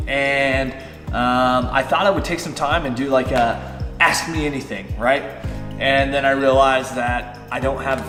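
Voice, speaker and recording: A man's voice: medium pitch, reverberant, long reverb tail. A man in his thirties, a fitness vlogger, answering viewer-style questions in a loose, chatty way with many fillers. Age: 30s. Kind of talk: casual Q and A vlog. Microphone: on-camera shotgun mic.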